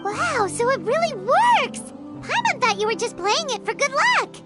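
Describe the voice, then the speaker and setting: Tiny chirpy fairy companion, very high voice, lively swoops, game music under. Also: female voice, normal speed. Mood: amazed. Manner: refers to herself in third person, excitable.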